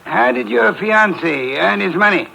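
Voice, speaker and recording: An actor playing a pompous, brilliant detective who speaks in curt, formal, precise phrases, in 1950s radio mystery style. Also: male voice, sits high, expressive, unhurried, creaky, bright, forceful tone, full character voice, rough recording. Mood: stern, accusing. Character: pompous, sedentary, brilliant middle-aged male private detective. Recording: vintage 1950s radio broadcast, faint hiss.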